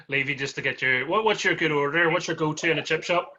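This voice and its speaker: Man, podcast host, friendly, laughs easily, bright tone, dull lo-fi recording. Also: on the high side, normal speed. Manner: friendly, chuckling, rambling questions. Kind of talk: casual interview chat. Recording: dull lo-fi audio.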